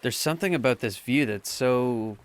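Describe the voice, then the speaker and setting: A man's voice: medium pitch, moderate pace, natural voice. Man acting an earnest, bookish teenage boy. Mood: shy, smitten. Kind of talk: audio drama dialogue.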